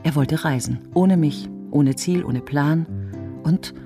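A woman's voice: low-pitched, slow pace, over music. An actress playing a self-possessed, reflective middle-aged woman in a radio drama. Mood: reflective, wry. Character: reflective, self-possessed woman looking back on her marriage. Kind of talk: radio drama dialogue and first-person narration.

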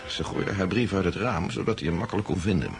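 A man's voice: low-pitched, fast talker, gravelly. A man acting as a wry storyteller recounting a romance to friends in a radio drama. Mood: amused. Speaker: narrator. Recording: music behind radio play voice.

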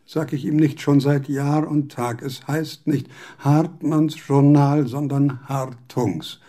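An actor playing an aging, fastidious male philosopher in a radio drama, speaking with formal old-fashioned courtesy. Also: a little high, steady tone, dark tone.

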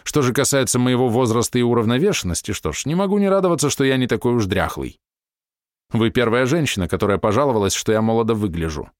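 A man's voice: mid-range voice, lively intonation, fast talker, no echo, studio quality, pitched above the performer's own voice, close to an everyday voice. A man voicing an irritable middle-aged male writer who snaps back with indignant retorts. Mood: irritated.

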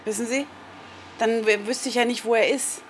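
A woman's voice: a little high, fairly even intonation, moderate pace, a little hiss or hum. A woman working as a reporter, narrating a documentary and talking with people she meets. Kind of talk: documentary narration and street conversation. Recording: loud music behind the voice. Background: prominent music.

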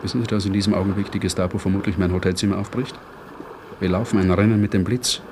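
A man's voice: deep voice, fairly even intonation, fast talker, background noise, natural voice. A man playing a curt, coldly formal British naval commander with precise, sarcastic politeness in a German radio play. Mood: irritated sarcasm.

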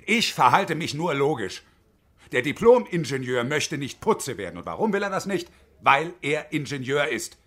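A man's voice: on the high side, big pitch swings, moderate pace, fairly bright tone. An actor playing a bitter, stubborn unemployed engineer in his fifties, sardonic and pointed, in a German radio drama. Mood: indignant, defiant. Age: middle-aged.

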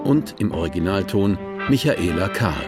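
A man's voice: deep voice, expressive, normal speed. A man as a sober radio play announcer, reading scripted narration and credits. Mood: sober announcing. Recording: loud brass music underneath.

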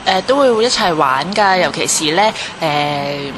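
A woman with a Hong Kong Cantonese accent casually recalling things in an interview, in halting phrases. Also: low-pitched, animated. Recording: noisy ambient location, vehicle sounds.

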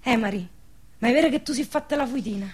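An eager, high-voiced young village girl. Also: quick pace, breathy edge. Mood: curious, insistent. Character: naive, teasing, nosy young village woman eager to marry. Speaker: actress. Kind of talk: radio drama dialogue in dialect.

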